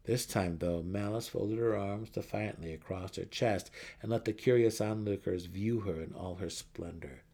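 A man's voice: lowish voice, moderate pace, few pauses, warm tone. A man narrating a fantasy audiobook dramatically, with theatrical emphasis.

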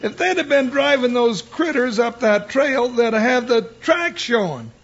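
An actor playing a loyal, folksy cowboy sidekick in a 1950s radio western, with an American accent. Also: male voice, extremely high pitch, expressive, unhurried, fairly bright tone, husky, full character voice. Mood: puzzled, eager. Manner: folksy, drawling cowboy talk. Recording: muffled, noisy old radio recording.

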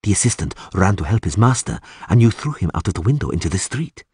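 A man plays a sardonic elf captive in a fantasy audiobook. He speaks with precise, dry sarcasm in a husky voice, his pitch moving freely. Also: sing-song, quick pace, darkish tone, dry recording, full character voice. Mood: quiet, dry. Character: sardonic male elf prisoner, sneering, coolly articulate.

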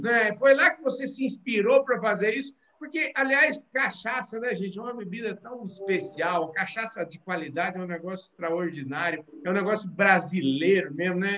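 A man's voice: high-pitched, fairly bright tone. A man hosting a podcast interview, exuberant and folksy, talking straight to his listeners. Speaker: podcast host.

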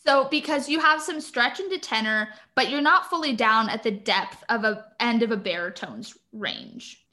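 Bright-voiced woman explaining singing. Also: on the high side, sing-song, moderate pace. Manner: explains singing terms, laughs often. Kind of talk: casual chat.

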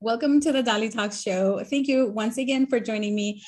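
A woman's voice: fairly high pitch, moderate pace, a little mellow, soft background music. A woman from Nicaragua hosting a podcast interview, upbeat and chatty. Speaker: podcast host. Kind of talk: casual interview chat.

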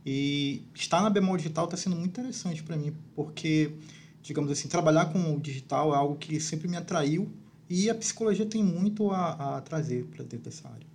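Male psychologist guest, slightly high voice, halting and reflective, faint background hiss. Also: darkish tone. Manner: hesitant, many fillers and restarts. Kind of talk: casual panel talk.

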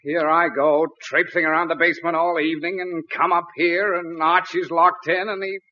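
A man's voice: on the high side, level tone, normal speed, lightly acted. An American man acting a flustered, put-upon middle-aged father who sputters and blusters in a 1940s radio comedy. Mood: exasperated. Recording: muffled vintage 1940s radio broadcast.

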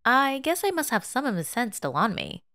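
A woman acts out a flustered young man in an audio drama, pitched high and very animated. He talks in a breezy, joking way, forcing a casual air over his nerves. The sound is close and dry. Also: moderate pace, no room sound, full character voice. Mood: breezy, covering nerves. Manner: breezy, jokey, forced casualness.

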